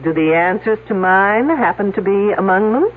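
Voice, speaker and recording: Woman playing a wry, animated lady detective on tinny radio. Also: mid-range voice, normal speed, natural voice. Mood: sarcastic. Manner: wry, sarcastic, plain-spoken. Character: brisk, sardonic older female detective, wry and unflappable. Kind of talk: 1970s radio drama acting. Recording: tinny, noisy old radio broadcast.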